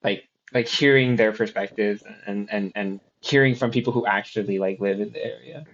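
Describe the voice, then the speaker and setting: A man's voice: fairly low pitch, normal speed, slight background noise. A man chatting casually on a podcast, rambling with lots of fillers and restarts. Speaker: podcast co-host. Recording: slightly dull lo-fi sound.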